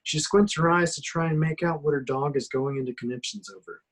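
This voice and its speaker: A man's voice: fairly high pitch, fairly quick. A man reading action description aloud at an amateur table reading, in a dramatic storytelling way with occasional stumbles. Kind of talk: scripted narration, amateur table read.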